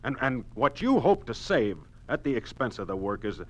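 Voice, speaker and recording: A firm, principled manager, mid-pitched and very animated, vintage radio drama. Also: male voice, normal speed. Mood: indignant. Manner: earnest, indignant, firm. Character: principled, defiant oil plant manager. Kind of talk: 1950s radio drama acting.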